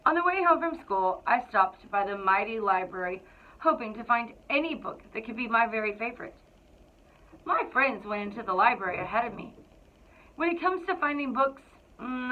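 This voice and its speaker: A woman reading a children's picture book aloud to school students in an expressive, deliberate storytelling way. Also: on the high side. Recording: thin, phone-like narrow-band sound.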